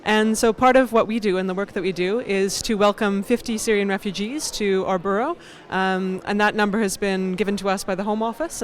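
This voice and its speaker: Brisk woman. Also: medium pitch. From Canada. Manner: brisk, informative. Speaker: refugee volunteer.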